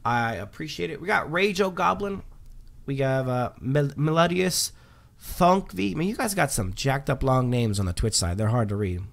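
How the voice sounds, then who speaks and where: A man's voice: mid-range voice, lively intonation, moderate pace, vocal fry. A man hosting a livestream, chatting casually while reading patch notes, with lots of um fillers and restarts. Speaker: livestream host.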